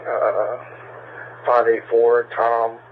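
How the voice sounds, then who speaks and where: A man's voice: mid-range voice, completely flat delivery, extremely slow, slight background noise. An American man working as a patrol officer, making clipped, procedural calls over a police radio during a pursuit. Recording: muffled police radio scanner transmission.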